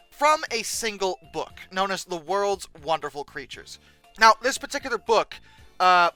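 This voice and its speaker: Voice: medium pitch, pushed and bright, dry recording. A video host giving casual, humorous commentary in a sarcastic, exasperated manner with dramatic emphasis.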